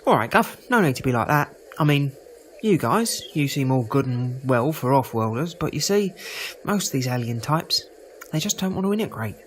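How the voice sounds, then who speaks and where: An actor playing a prejudiced, chatty market-stall trader in an audio drama, rambling in a folksy, defensive way. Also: male voice, medium pitch, sing-song, normal speed, slight background noise, close to an everyday voice. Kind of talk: full-cast audio drama acting.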